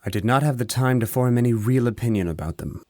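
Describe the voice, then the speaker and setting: A man's voice: medium pitch, expressive, fast talker, a little mellow, dry recording, lightly acted. Man acting a reserved, guarded knight, dry sound. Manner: terse, guarded, formal. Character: reserved, dutiful male knight, shyly devoted. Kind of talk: fantasy audio drama acting.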